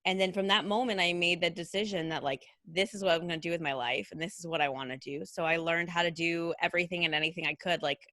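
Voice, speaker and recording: Woman, thirties, low voice, bright tense tone, chatty guest, fillers, dry sound. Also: fairly even intonation, fast, hardly any pauses, dry recording. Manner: chatty, many fillers like "like" and "you know". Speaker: guest, costume designer. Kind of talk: casual conversational interview.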